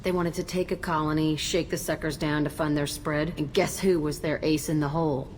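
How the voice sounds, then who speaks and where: A woman's voice: low-pitched, steady tone, brisk, a little mellow, a little hiss or hum, close to an everyday voice. An actress playing a hardened young female outlaw in a video game, curt, cocky and sardonic. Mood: bitter, sardonic. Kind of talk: video game character acting.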